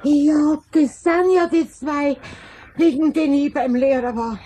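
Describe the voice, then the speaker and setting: A woman playing a homely, plaintive old lady with a Bavarian accent and a husky voice in a 1970s children's radio play. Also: high voice, fairly even intonation, measured pace, dark tone, a little hiss or hum. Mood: shaken, exhausted. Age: older.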